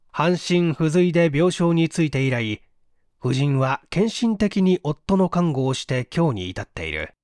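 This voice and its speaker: A man narrating a mystery story as an audiobook, in a measured, literary and dramatic storytelling manner. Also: on the high side, darkish tone, no room sound, clean studio sound. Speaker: audiobook reader, stage actor.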